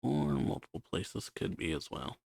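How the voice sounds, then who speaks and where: A man's voice: deep voice, sing-song, normal speed, creaky, a little mellow, slightly rough, no room sound, clean studio sound. A man giving casual, laid-back play-along game commentary in short muttered asides. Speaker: YouTube game streamer reacting. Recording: game music behind voice.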